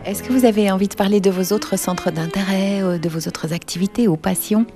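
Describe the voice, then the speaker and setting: A woman interviewer asking calm, measured questions. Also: mid-range voice. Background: loud cello and violin music.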